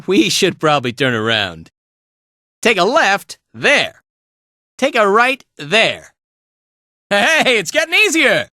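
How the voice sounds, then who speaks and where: High, bright, slacker game hero. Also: male voice, huge pitch swings, slow pace, pauses often, dry recording, studio quality, full character voice. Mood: casual guiding. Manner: drawling, easygoing, casual, with trailing tags. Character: laid-back, playful, slacker male fighter and guide. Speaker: video game voice actor. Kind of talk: animated video game voice lines.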